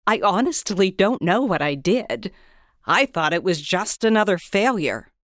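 A woman playing an earnest, excitable scientist in an audio drama, with expressive, exclamatory delivery. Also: mid-range voice, no echo, studio quality, close to an everyday voice. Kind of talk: hobby audio drama acting.

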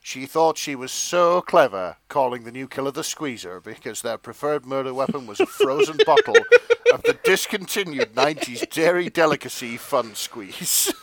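A man with a theatrical, expressive delivery, co-hosting and narrating a comic dramatic reading with casual chat. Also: medium pitch, normal speed, few pauses. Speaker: narrator and co-host.

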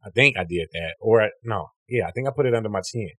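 Deep-voiced rambling young man. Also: lively intonation, fast talker, a bit bright, breathy edge, no room sound. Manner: rambling, profane, restarts often, acts out quotes in a high voice. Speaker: comedian and podcast host. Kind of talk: casual storytelling chat.

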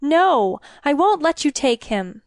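Woman playing a desperate, breathy storybook queen. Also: on the high side, lively intonation, takes it slowly, a little mellow, no echo, studio quality. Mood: desperate, defiant. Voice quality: husky, breathy when distressed. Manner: gasping, pleading, emphatic. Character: frightened, desperate young queen and mother, proud. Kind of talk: audiobook fairy-tale character voice.

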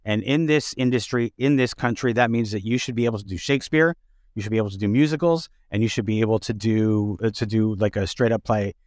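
A man hosting a casual interview, talking in halting, measured phrases. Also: on the low side, darkish tone, no room sound, clean studio sound. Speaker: interview host.

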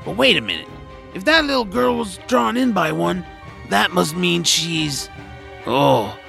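A grumbling, wry guide who would rather be napping. The voice is high and bright with a very heavy gravelly creak, in a folksy audio drama style. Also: male voice, sing-song, a little slow, soft background music, exaggerated voice. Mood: grumbling, explaining. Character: grumpy, nap-loving creature guide, wry and knowing.

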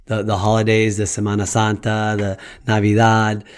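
A man with Colombian roots, speaking in a measured, reflective way in casual conversation. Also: lowish voice, monotone, smooth. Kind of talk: casual chat.

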